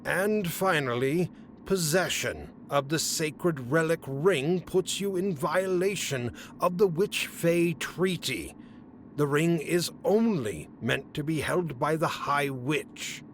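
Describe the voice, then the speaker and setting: An actor playing a haughty, exasperated fae council official in a fantasy comedy audio drama, speaking formally and officiously. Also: male voice, a little high, slow pace, slight background noise.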